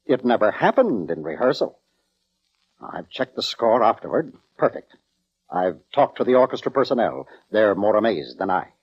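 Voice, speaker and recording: Haughty male maestro, theatrical, formal diction, very animated pitch, dull old radio sound. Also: normal speed, slightly rough. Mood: troubled, indignant. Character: pompous, self-important male orchestra conductor, easily affronted. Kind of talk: 1940s radio acting. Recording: dull vintage radio recording.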